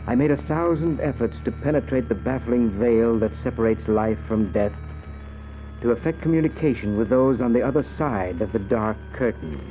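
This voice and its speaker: A man's voice: medium pitch, narrow, tinny audio, natural voice. An actor playing an obsessed, nervously intense middle-aged man, speaking earnestly and dramatically in a 1940s style radio drama. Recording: thin old radio recording.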